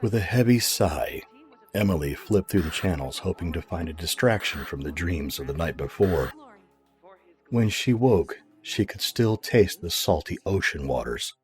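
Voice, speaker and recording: A man's voice: low voice, a little mellow, breathy edge. A man narrating a scripted audio drama as its storyteller.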